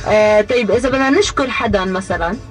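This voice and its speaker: A woman's voice: mid-range voice, fast talker, soft background music. A woman with a Levantine Arabic accent co-hosting a playful, casual radio chat, teasing and repeating phrases. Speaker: radio co-host.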